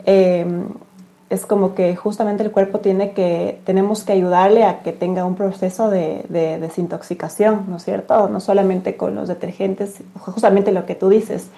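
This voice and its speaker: Mellow-voiced woman explaining as interview guest. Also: fairly low pitch, vocal fry. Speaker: interview guest, business representative. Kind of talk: casual explanatory interview talk.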